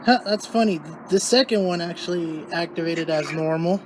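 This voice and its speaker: A man's voice: a little high, moderate pace, noisy background. A man hosting a hobby video, giving casual commentary as he checks radio equipment results.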